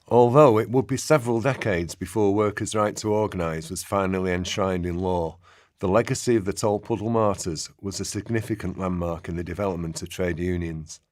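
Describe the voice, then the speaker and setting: A man playing an earnest narrator, giving measured, formal documentary-style narration in a radio play. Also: deep voice, darkish tone, no room sound, studio quality, close to an everyday voice.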